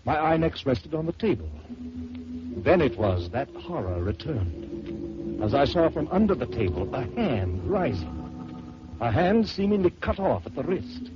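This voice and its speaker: A man narrating a first-person horror story in dramatic old-time radio style, swelling and dropping to hushed tones. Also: mid-range voice, very animated, a little slow, music in the background. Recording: dull lo-fi old radio recording with hiss.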